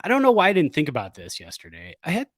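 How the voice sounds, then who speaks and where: A man's voice: fairly high pitch, wildly swooping pitch, fast, fairly bright tone, dry recording, clean studio sound. A middle-aged man co-hosting a sports podcast in casual, enthusiastic, rambling conversation. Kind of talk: casual chat.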